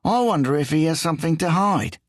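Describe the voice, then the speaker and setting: A man's voice: on the high side, lively intonation, brisk, dry recording, clean studio sound, voice pushed up. A man voicing a nosy, nervous burglar with an English accent and a whiny tone. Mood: prying. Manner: whiny, questioning.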